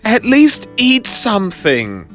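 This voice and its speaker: A man's voice: high-pitched, wildly swooping pitch, slow pace, a bit bright, faint music behind, pitched above the performer's own voice, exaggerated voice. A man voicing a fussy, affectionate older aunt in an audio drama, with a doting, sing-song manner. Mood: fussing, caring.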